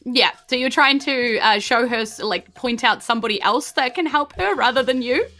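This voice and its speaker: A woman's voice: fairly high pitch, normal speed, bright, forceful tone. A woman with a New Zealand accent narrating a tabletop game as game master, chatty and informal, putting questions to the players.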